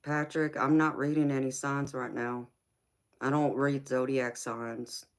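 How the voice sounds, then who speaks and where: Woman in her forties, tarot reader, relaxed and slow-spoken, chatting back to viewer comments. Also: extremely low pitch. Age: 40s. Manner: easygoing, answers viewer comments by name. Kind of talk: casual live-stream chat with viewers.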